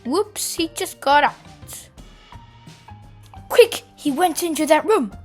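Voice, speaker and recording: Woman as an eager, high-voiced young yeti hunter. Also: expressive, slow, faint music behind. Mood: eager, determined. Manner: eager, exclamatory. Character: excited, determined young kid hunting a yeti.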